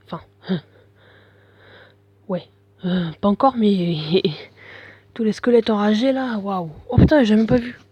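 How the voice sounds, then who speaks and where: Male gameplay commentator, congested from a cold, breathy, slangy and offhand. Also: high-pitched, a little slow, long pauses, no echo, dull audio. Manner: mumbling, slangy, full of fillers. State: has a bad head cold. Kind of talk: casual game commentary.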